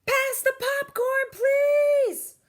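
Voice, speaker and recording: A woman voicing an exuberant young schoolgirl who talks far too loudly in a storybook. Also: very high voice, steady tone, drawn-out words, pitched above the performer's own voice, full character voice. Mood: excited, loud. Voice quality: loud, shouty.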